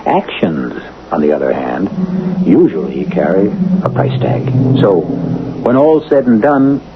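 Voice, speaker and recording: Male narrator, low pitch, deliberate rhetorical delivery, old-time radio host, tinny band-limited sound. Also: big pitch swings, very noisy. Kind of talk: scripted radio drama narration. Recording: tinny old radio broadcast, music behind.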